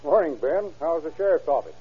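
A man's voice: a little high, sing-song, fairly quick, narrow, tinny audio, faint music behind. An actor, a man, playing a blunt, insistent, folksy small-town man in a radio drama. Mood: neighborly. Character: insistent, aggrieved small-town man chasing his money. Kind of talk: 1930s radio drama acting. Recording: band-limited old radio drama recording.

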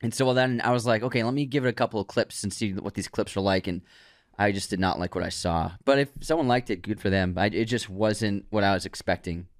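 Guy co-hosting a podcast, animated and opinionated, dry close mic, nothing behind him. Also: fairly low pitch, a little fast, dry recording. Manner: animated, opinionated, restarts words. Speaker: podcast co-host. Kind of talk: casual chat.

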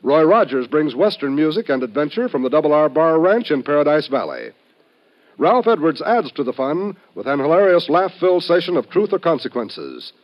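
Old timey radio announcer guy, kinda high animated voice, punchy promo read, muffled lo-fi sound. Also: moderate pace. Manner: emphatic, polished promotional delivery. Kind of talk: 1950s network radio announcing. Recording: dull, band-limited old radio recording.

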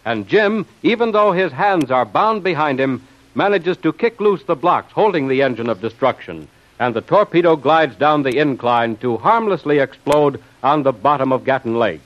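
A man's voice: on the high side, expressive, moderate pace. A man announcing and narrating an old radio adventure serial in a dramatic, emphatic style. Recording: muffled old radio broadcast.

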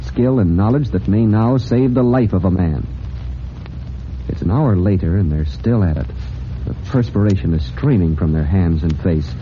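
Dramatic vintage radio announcer, muffled sound. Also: male voice, low voice, normal speed. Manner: dramatic, earnest announcer delivery. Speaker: announcer and narrator of a radio serial. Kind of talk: scripted old-time radio narration. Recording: dull, noisy old radio recording.

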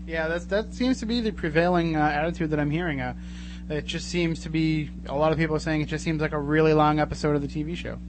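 A man's voice: a little high, steady tone, fast talker. A man hosting a live talk radio show in a casual, joking, chatty way. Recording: clearly noisy radio studio with hum.

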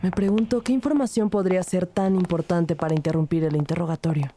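Actress as low-voiced probing female detective. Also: steady tone, a little fast, warm tone, a little hiss or hum, close to an everyday voice. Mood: focused narration. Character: female police detective narrating her case, probing, determined. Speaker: narrator and actor in a crime audio drama. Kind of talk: scripted first-person narration and interrogation.

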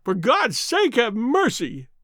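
Man voicing a high, frightened, cringing thief. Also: big pitch swings, moderate pace, no room sound, studio quality. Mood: desperate pleading. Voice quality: cracked, shrill. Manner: stammering, pleading. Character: frightened, cringing male hotel thief, pleading for mercy. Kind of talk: dramatic audiobook character reading.

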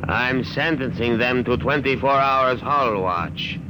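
An actor playing a stern, commanding spaceship captain in an old radio drama, formal and authoritative. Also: male voice, mid-range voice, takes it slowly, close to an everyday voice. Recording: noisy vintage radio drama recording.

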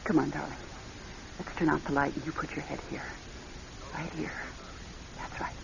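Anxious, tender wife in muffled radio drama. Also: female voice, sits low, flat delivery, creaky. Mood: soothing. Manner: pleading, tender, halting. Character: anxious, loving wife of a troubled man. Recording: muffled, hissy old radio recording.

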